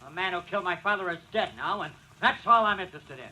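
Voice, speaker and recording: A man's voice: high voice, fast, sharp tone, exaggerated voice, low-quality recording. A man playing an insolent, hot-headed young man in an old-time radio mystery, sneering, sarcastic and defiant. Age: young adult.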